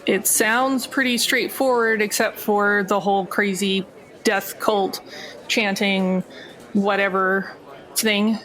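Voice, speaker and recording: Young female recruit, played by a woman: dry, hesitant, sarcastic game character, medium pitch. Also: steady tone, slow pace, a bit bright, rough recording. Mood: wary.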